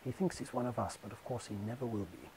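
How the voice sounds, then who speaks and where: A matronly English woman of the colonies, as a male narrator reads her. She is snobbish and disdainful, dropping airy asides in a hushed, low, faintly husky voice. The medium is a literary storybook reading. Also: fast, poor audio. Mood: confiding disdain.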